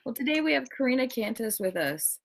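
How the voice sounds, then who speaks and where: A woman's voice: fairly high pitch, sing-song, brisk, gravelly. A woman hosting an interview, friendly, with hesitant restarts. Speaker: host. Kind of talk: casual interview over video call.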